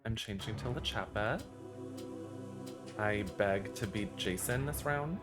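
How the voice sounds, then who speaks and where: A man's voice: on the low side, a little slow. A man streaming a game, chatting casually with friends over music. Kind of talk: casual group gaming chat. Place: voice over gameplay with music behind. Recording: clear music behind the voice.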